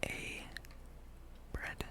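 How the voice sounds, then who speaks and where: A gaming host speaks entirely in a soft, breathy whisper. The delivery is unhurried and casual, musing through gameplay as an ASMR ramble, close to the microphone.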